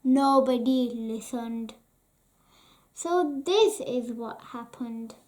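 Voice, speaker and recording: A children's story reader and channel host reading a bedtime picture book aloud in an expressive, deliberate way. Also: medium pitch, a little hiss or hum.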